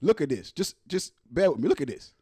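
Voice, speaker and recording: A man's voice: fairly high pitch, sing-song, brisk, mellow, slightly rough, dry recording, clean studio sound. A man from Nigeria hosting a reaction show, talking casually with heavy slang and swearing in an exasperated way. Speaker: host of a live reaction show. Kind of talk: casual ranting reaction commentary.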